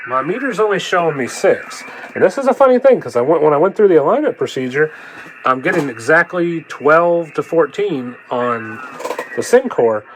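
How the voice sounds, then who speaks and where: A man's voice: a little high, very animated, moderate pace, poor audio. A man with a cold talks in a plain-spoken, rambling, folksy way through a casual hands-on demonstration. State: coming down with a cold. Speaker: vlogger demonstrating a repaired radio. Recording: clear background noise.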